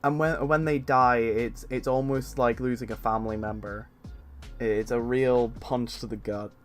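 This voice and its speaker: A man's voice: mid-range voice, fairly even intonation, normal speed, faint music behind. A man in his twenties chatting casually on a stream, rambling with many fillers and restarts. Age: 20s. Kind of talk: casual chat.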